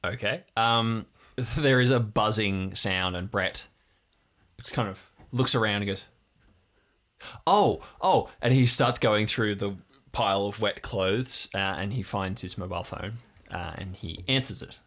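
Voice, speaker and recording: A man's voice: fairly low pitch, unhurried, fairly bright tone. A man casually narrating an improvised role-play story as game master.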